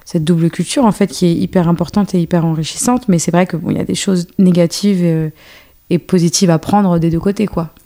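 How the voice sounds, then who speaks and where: A woman's voice: fairly low pitch, a little fast, mellow. A woman in her thirties, born in Algeria and raised in France, talks casually as a guest with many fillers and restarts. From born in Algeria, raised in a French suburb. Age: 30s. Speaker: guest, choreographer and dance teacher. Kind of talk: casual conversational interview.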